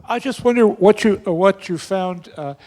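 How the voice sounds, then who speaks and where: A man's voice: high voice, normal speed, a little mellow. A man in the audience asking an informal question at a public event. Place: large indoor event hall. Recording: distant voice in echoey hall.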